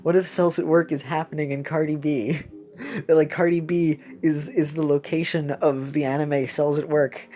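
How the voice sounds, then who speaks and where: Giggly, low-voiced woman streaming games. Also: fairly even intonation, normal speed, mellow. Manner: giggly, playful, rambling. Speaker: game streamer. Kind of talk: casual livestream chat over gameplay.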